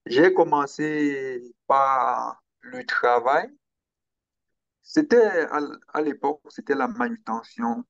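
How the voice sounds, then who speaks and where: Slow, gravelly man from Cameroon. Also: medium pitch, animated, no echo, highs cut off. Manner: slow, hesitant, with pauses and restarts. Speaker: guest. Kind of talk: interview, personal account.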